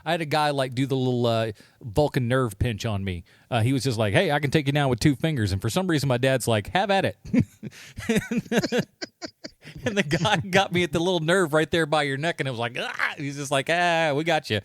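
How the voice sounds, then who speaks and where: A man's voice: fairly high pitch, normal speed, no echo, studio quality. An American man, middle-aged, co-hosting a podcast with casual comedic chat, acting out the lines he quotes as he tells stories. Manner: animated storyteller who acts out quoted lines. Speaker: podcast co-host.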